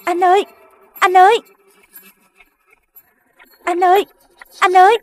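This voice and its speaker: A woman's voice: very high-pitched, flat delivery, takes it slowly, a little mellow, dry recording, faint music behind. A woman voicing an anxious animal mother in a children's cartoon, fretful, pleading and exclamatory. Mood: panicked.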